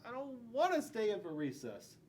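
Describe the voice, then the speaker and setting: American male storybook reader, forties, high register, very expressive, asks the kids questions. Noisy classroom recording. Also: normal speed. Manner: animated, dramatic, asking the children questions. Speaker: school resource police officer. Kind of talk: reading a children's picture book aloud. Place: school classroom, indoors. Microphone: camera a few feet away. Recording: noticeable room noise with low rumble.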